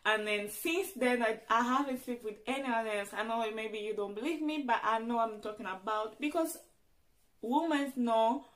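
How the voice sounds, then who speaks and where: Earnest young woman, Portuguese native speaker. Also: on the high side. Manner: earnest, halting, with frequent restarts. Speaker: vlogger. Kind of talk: personal storytelling vlog.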